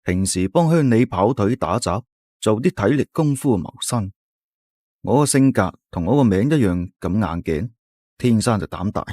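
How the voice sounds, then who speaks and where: A man with a Cantonese accent tells a folk ghost story in the first person, in a low voice that rises and falls with a lot of drama. The sound is dry and close to the mic, with no room around it. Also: darkish tone, dry recording.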